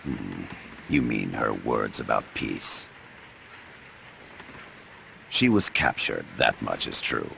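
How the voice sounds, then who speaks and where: An actor playing a calculating older Soviet spy who poses as a professor, speaking in a measured, insinuating way in a video game. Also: male voice, a bass voice, lively intonation, creaky, sharp tone, slight background noise, exaggerated voice. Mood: calm, persuasive. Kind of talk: dramatic video game voice acting. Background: music.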